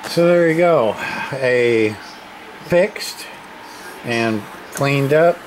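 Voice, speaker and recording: A man casually narrating a hands-on radio repair demonstration in terse, offhand, folksy fragments. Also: mid-range voice. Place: indoor home workshop bench. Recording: noisy room with radio static. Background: CB radio noise.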